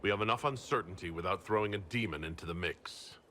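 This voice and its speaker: Stern leader, low forceful voice. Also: male voice, slight background noise. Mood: skeptical, firm. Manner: firm, deliberate, authoritative. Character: stern, pragmatic male leader of a fantasy order. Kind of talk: video game dialogue acting.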